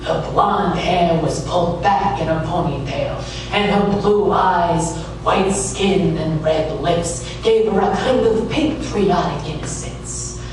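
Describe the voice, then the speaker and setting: A man playing a wary, wisecracking private detective in a hardboiled radio comedy, delivered with deadpan wryness. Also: high voice, takes it slowly, few pauses. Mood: wary storytelling. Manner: deadpan, wry. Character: wisecracking, wary male private detective narrating his case. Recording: echoey room, distant mic, clear noise.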